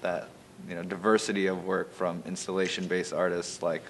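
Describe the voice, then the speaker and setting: A man's voice: deep voice, level tone, normal speed, slight background noise. A man, an arts organizer, answering an interview in a rambling way full of fillers. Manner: rambling, heavy use of fillers like you know and um.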